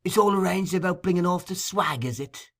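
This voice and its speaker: A man voicing a crafty old gang master in an audiobook, with a shrill, eager, wheedling way of talking. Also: brisk. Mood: sly, inquiring. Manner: eager, insinuating, fawning.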